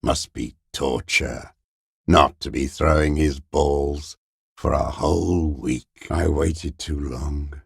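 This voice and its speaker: An actor playing a bitter, weary old communist deserter in a video game, gravelly and raspy, cursing with contempt in a slow mutter. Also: male voice, very deep voice, fairly even intonation, no room sound, clean studio sound, heavily acted. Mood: brooding, bitter. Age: older. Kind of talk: dramatic video game character acting.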